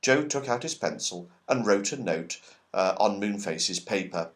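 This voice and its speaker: A man reading a children's story aloud as expressive, scripted narration. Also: lowish voice, a little slow. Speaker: audiobook reader.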